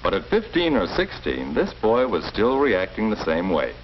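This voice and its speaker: An actor playing a calm, firm middle-aged school principal in a 1940s educational film, speaking formally and in an avuncular, measured way. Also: male voice, fairly low pitch. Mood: calm, earnest. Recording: muffled, noisy old 1940s film soundtrack.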